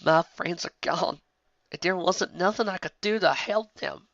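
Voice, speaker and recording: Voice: mid-range voice, a little slow, fairly bright tone, dry recording, lo-fi sound, pitched above the performer's own voice. A reader voicing a tough, stubborn country female earth pony in an audiobook reading, hollering her lines. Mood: raging.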